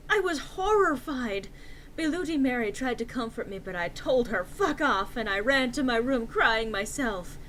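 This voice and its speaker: A woman's voice: fairly high pitch, a little hiss or hum, low-quality recording. A woman narrating a story aloud in a theatrical, emphatic, comic dramatic-reading style.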